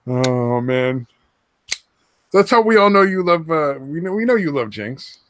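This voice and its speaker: A man's voice: on the high side, sing-song, unhurried, fairly bright tone, slight background noise. A man co-hosting a casual podcast from home over voice chat, crude and rambling, laughing often. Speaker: podcast co-host.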